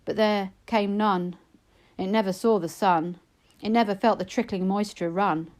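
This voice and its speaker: A woman reading narrative poetry aloud, with measured, expressive and dramatic phrasing. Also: medium pitch. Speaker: poetry reader.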